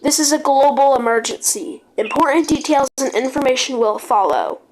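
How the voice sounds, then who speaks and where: A woman speaking in an amateur, haltingly delivered way, often repeating words, as a homemade video narrator. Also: high-pitched, animated. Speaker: video creator narrating a made-up emergency alert scenario. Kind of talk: amateur emergency alert read-out mixed with casual gameplay chat. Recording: clearly noisy home recording with clicks.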